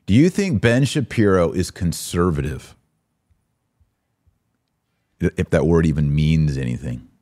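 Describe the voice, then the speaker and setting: A man's voice: deep voice, animated, a little slow, long pauses, mellow. An American man hosting a live-stream, talking conversationally and with opinion as he answers comments and poses rhetorical questions. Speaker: podcast host and religious commentator.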